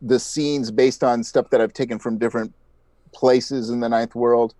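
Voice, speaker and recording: A man's voice: medium pitch, fairly even intonation, normal speed, dark tone, no echo. A man talking casually as an interviewed podcast creator.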